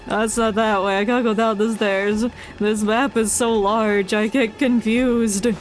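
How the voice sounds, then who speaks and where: A woman's voice: a little high, fairly even intonation, measured pace, low-quality recording. A woman with a congested voice giving playful, chatty, casual live commentary as a game streamer. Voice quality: congested, stuffy nose. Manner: playful, chatty, mock-indignant. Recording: faint game music behind. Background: game audio and music.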